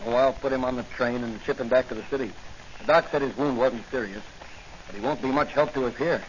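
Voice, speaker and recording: Actor as confident police hero, old noisy radio. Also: male voice, mid-range voice, fairly quick, lightly acted. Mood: serious, investigative. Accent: American. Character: calm, confident young male police hero. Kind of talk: 1940s radio acting. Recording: noisy, dull 1940s radio recording.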